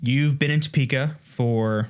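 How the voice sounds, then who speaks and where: A man's voice: mid-range voice, a little slow, a little mellow, smooth. A man hosting a casual interview, asking questions with easy fillers. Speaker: host.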